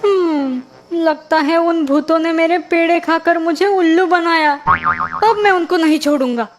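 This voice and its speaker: A woman voicing a naive, excitable and easily fooled village son in an animated moral story, whiny and exclamatory. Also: very high-pitched, unhurried, faint music behind. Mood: indignant.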